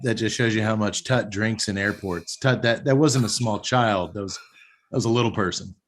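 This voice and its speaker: A man's voice: lowish voice, moderate pace. A man hosting a podcast, joking around with friends and reading out a news story with wisecracks. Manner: animated, joking, reads news aloud with wisecracks. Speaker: podcast host. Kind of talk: casual comedic banter.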